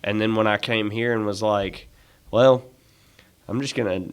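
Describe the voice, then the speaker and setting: A man's voice: on the low side, steady tone, moderate pace, smooth. A man talking earnestly and sincerely as a guest on a casual podcast, often repeating words as he restarts his sentences. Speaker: podcast guest, dealership employee.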